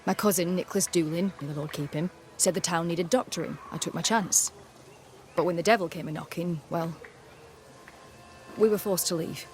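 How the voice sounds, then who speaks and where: A woman's voice: lowish voice, normal speed, a little mellow, soft background music, natural voice. A woman voicing a proud, pious colonial healer with a prim, curt and formal manner in a video game. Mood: guarded, proud.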